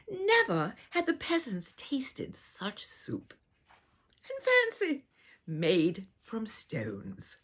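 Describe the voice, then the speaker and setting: A woman performing a children's storybook in a theatrical, dramatic way, with hushed asides and doting warmth toward her listeners. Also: lowish voice, slightly rough. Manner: addresses listeners with doting endearments. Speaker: storybook reader and host.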